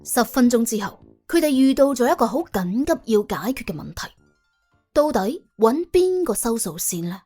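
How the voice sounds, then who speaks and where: Female audiobook narrator, Cantonese, higher register, animated dramatic delivery with a wry, chatty edge. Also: normal speed, soft background music. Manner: wry, colloquial, exclamatory. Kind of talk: dramatic scripted storytelling.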